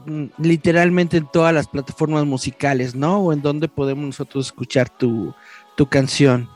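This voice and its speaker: A man's voice: on the high side, normal speed, a little mellow, soft background music. A man interviewing a musician, asking long rambling questions in a casual way. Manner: chatty, rambling questions with restarts.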